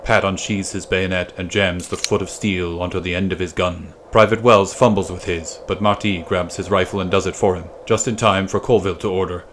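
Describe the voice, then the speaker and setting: A man narrating a story from a script as a podcast reader, in a measured, suspenseful, dramatic style. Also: low-pitched, steady tone, fairly bright tone, slight background noise.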